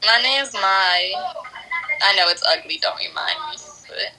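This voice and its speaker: A woman's voice: mid-range voice, animated, unhurried, pushed and bright, poor audio. A woman of about twenty, chatting playfully with a stranger on a video call. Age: 20s. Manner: playful, bantering. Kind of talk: casual chat. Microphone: phone video call.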